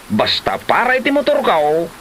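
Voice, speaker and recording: A man's voice: sits high, huge pitch swings, normal speed, fairly bright tone, a little hiss or hum, heavily acted. A man with an Ilocano Filipino accent playing a stubborn, stingy, defensive husband who bickers in a comedy drama. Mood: irritated, stubborn. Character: stubborn, stingy husband obsessed with his motorcycle.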